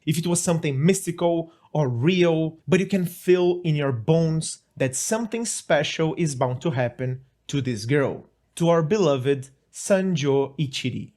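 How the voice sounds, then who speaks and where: A man's voice: a little high, darkish tone. A man with a Brazilian accent narrating a scripted review with enthusiasm and playful, mock-dramatic asides. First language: Portuguese. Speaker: manga review host. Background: faint background music.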